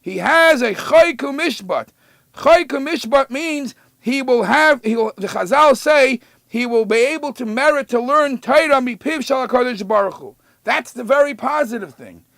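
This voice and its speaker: A man teaches like a rabbi. His voice sits high and has a strong gravelly creak, captured close and dry. He explains scripture emphatically, drawing out quoted verses before talking them through conversationally. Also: animated, normal speed, a bit bright, no room sound. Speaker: rabbi giving a Torah lesson.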